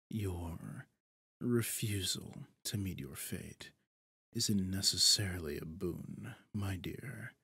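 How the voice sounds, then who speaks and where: Sly menacing demon, ASMR audio drama, deep gravelly voice, breathy, theatrical, deliberate, possessive. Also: male voice, fairly bright tone, dry recording, heavily acted. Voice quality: husky, breathy, gravelly, often near a whisper. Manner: theatrical, taunting, slow and deliberate. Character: possessive male demon, smug, sly, menacing deal-maker.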